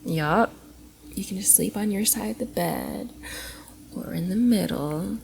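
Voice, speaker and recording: Playful young cosplayer girl, soft and crackly, woman acting. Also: medium pitch, lively intonation, a little slow. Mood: soft, coy. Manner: giggly, coy, often dropping into soft breathy murmurs. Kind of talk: ASMR roleplay, intimate one-sided dialogue to the listener.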